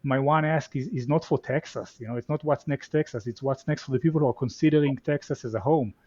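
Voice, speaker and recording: A man's voice: mid-range voice, fast, a little mellow, no room sound. Israeli guy chatting on an interview, keeps repeating words, kinda muffled lo-fi audio, dry close sound. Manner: repeats words often, reflective. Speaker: venture capital guest. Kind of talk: casual conversational interview.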